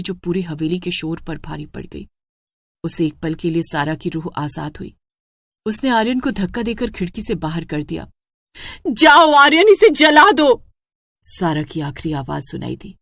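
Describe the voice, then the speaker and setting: Low-voiced woman narrating horror. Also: vocal fry, a little mellow, no echo. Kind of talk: dramatic scripted narration.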